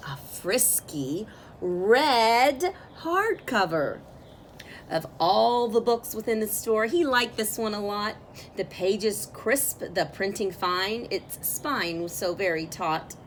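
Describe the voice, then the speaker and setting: A woman reading a picture book aloud as a storyteller, with expressive, drawn-out phrasing for young listeners. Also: mid-range voice, a little hiss or hum. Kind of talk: expressive children's read-aloud narration.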